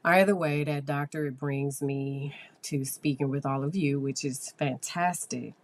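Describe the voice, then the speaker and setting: Low-voiced hoarse woman podcaster. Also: steady tone, unhurried. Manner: chatty, explains with fillers. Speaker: psychologist podcast host. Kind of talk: casual solo podcast talk.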